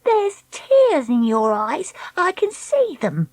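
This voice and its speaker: A woman's voice: extremely high pitch, big pitch swings, unhurried, no echo, pitched above the performer's own voice, exaggerated voice. A woman voicing a bratty, tired young girl in a storybook, petulant and given to screaming and sneering. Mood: taunting.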